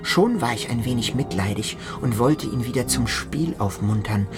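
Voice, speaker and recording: A man's voice: medium pitch, animated, moderate pace, close to an everyday voice. An actor playing an awkward, fragile, overwrought man, narrating a literary radio play theatrically. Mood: nervous recounting. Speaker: first-person narrator and protagonist. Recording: music behind the voice.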